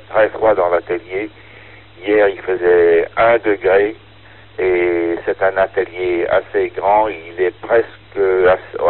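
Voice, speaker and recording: A man chatting casually as an amateur radio operator, haltingly searching for words, over a narrow radio link. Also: sits low. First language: French. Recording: tinny band-limited ham radio transmission.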